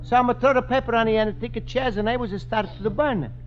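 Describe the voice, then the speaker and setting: Naive Italian immigrant shopkeeper, very high voice, earnest broken English, old-time radio sitcom with hissy sound. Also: male voice, steady tone, fast. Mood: sheepish. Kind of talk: 1950s radio sitcom acting. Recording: muffled, noisy old radio recording.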